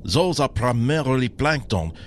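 An actor playing a grave, learned French professor with a French accent in an audio drama. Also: male voice, mid-range voice, expressive, slight background noise, natural voice. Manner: slow, measured, grave.

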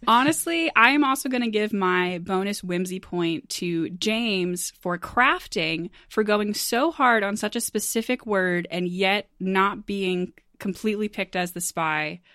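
A woman's voice: mid-range voice, a bit bright, dry recording. Upbeat woman game host, dry close audio, chatty and laughs easily. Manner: informal, upbeat, quick to laugh. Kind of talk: casual game moderating among friends.

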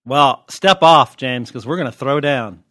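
An American man talks as a podcast host, loose and unscripted. He wanders off on tangents and jokes irreverently, with a medium-pitched voice. The sound is dry and close, with very little room. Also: measured pace, pushed and bright, no echo. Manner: irreverent, joking, digressive, mildly profane. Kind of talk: casual, unscripted podcast chat.

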